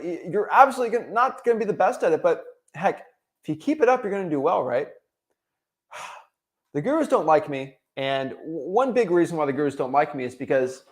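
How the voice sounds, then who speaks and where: A young man from Florida giving an energetic motivational talk, full of restarts and tagging his points with "right?". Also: fairly high pitch. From Florida, USA. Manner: energetic, repetitive tag "right?", frequent restarts. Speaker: real estate wholesaling coach.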